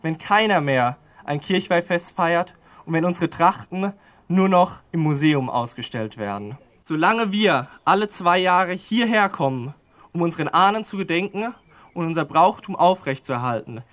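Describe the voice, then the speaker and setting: A man's voice: on the high side, animated, normal speed, fairly bright tone. A young man giving a public commemorative speech, proud and rhetorical in manner. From Germany. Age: young adult. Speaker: speaker at a commemoration.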